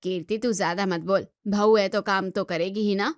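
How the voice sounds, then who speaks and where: A woman's voice: medium pitch, steady tone, normal speed, no echo, studio quality, close to an everyday voice. A woman playing a stubborn, traditional middle-aged mother-in-law in a family drama, dismissive and complaining. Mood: dismissive, defensive. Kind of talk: Hindi family drama voice acting.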